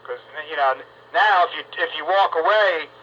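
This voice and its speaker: A man's voice: on the high side, moderate pace, pushed and bright, muffled recording. A man chatting casually with fellow radio operators over the air. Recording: noisy, band-limited radio transmission.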